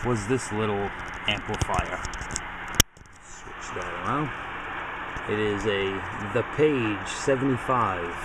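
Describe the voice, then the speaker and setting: A man's voice: lowish voice, very noisy. A man giving a casual, informal equipment walkthrough as a hobbyist video host, explaining things plainly. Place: home garage.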